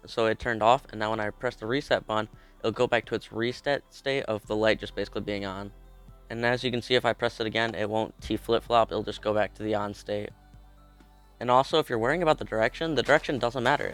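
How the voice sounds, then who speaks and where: A young man with a cold, casually walking through a step-by-step tutorial in a chatty, informal way. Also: on the low side. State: has a cold. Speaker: video creator explaining a game build.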